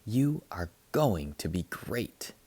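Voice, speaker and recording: A man voicing a gentle, encouraging mother in a children's storybook, soft and reassuring. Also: sits low, big pitch swings, slow pace, husky, dry recording, full character voice.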